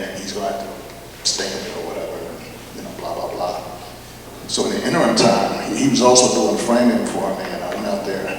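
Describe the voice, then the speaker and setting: A man's voice: on the low side, fairly bright tone. Older man, echoey gallery hall. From Chicago. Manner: rambling storyteller, many fillers and restarts. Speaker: panel artist. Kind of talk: panel discussion answer. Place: large gallery hall with audience. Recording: echoey hall, distant mic, noisy.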